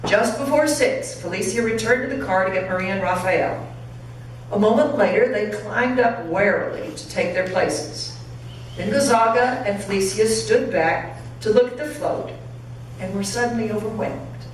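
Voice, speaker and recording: A woman pastor reading a story aloud expressively and deliberately to listeners in a large church. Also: mid-range voice. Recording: very echoey church, distant mic, faint noise.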